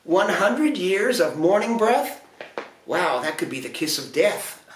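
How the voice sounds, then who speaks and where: A blustery young storybook prince, clueless and excitable, his voice pitched high and swooping as he blurts out his ideas, played by a man reading aloud. The sound is roomy and heard from some distance from the microphone. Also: unhurried, a little hiss or hum. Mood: squeamish. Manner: loud, excitable exclamations. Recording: roomy echo, distant mic.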